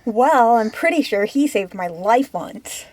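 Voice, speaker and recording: A woman's voice: fairly high pitch, expressive, measured pace, darkish tone, no echo, rough recording. Giggly woman author in a dry booth. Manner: giggly, self-mocking asides. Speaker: author directing a recording session. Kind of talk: reading and recording-booth chat.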